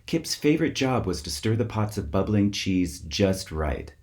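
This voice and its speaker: A man reading a children's story aloud as its author, with expressive, theatrical emphasis. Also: fairly low pitch, normal speed. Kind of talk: scripted storybook narration for children.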